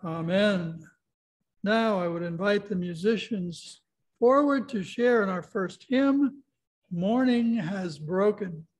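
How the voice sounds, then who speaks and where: Older guy pastor leading prayer in church, highish voice, slow and solemn. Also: poor audio. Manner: measured, solemn phrasing. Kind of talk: liturgical prayer and service leading. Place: church sanctuary.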